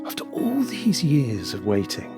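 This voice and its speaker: A narrator delivering scripted drama narration in a deliberate, measured storytelling manner. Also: medium pitch, huge pitch swings, loud music behind the voice.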